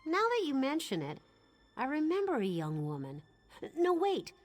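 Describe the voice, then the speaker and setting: A woman voicing a quirky, chatty townswoman in a fantasy video game, musing out loud in an animated way. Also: sits high, moderate pace, soft background music. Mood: amused, baffled.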